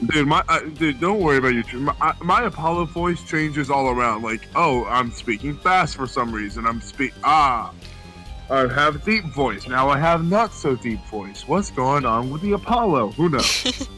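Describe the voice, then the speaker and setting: A man's voice: medium pitch, animated, moderate pace, sharp tone, soft background music. A man chatting casually between game dub takes, joking at his own expense. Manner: joking, self-mocking. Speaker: voice actor, co-host.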